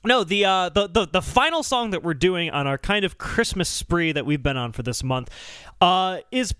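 Young American man, sarcastic podcast co-host. Bright delivery, very dry close recording. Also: sits high, expressive, normal speed, smooth, dry recording. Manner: sarcastic, joking. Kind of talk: casual comedic banter.

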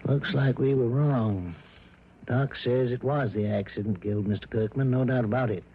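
A man's voice: medium pitch, moderate pace, slightly rough. A man playing a folksy, plodding small-town police chief in an old radio drama, plainspoken and easily puzzled, with an American accent. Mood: matter-of-fact. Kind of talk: mid-century radio drama acting. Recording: dull vintage radio drama recording.

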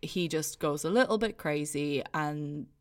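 A woman's voice: low-pitched, a little slow. A woman with an Irish accent co-hosting a podcast, talking casually and laughing often. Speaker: podcast co-host. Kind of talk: casual chat.

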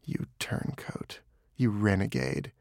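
A man acts out a wounded storybook fox, speaking in a hushed half-whisper. The voice is soft, hissing and restrained, weak yet embittered. It sounds dry and gravelly. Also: lowish voice, lively intonation, slow pace, no room sound, full character voice. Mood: bitter contempt.

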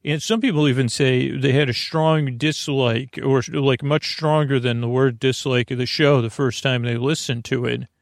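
Creaky-voiced man, rambling bedtime podcast host. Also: medium pitch, fairly even intonation, moderate pace, few pauses, dry recording, studio quality. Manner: meandering, tangled, self-deprecating. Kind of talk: rambling bedtime monologue to the listener.

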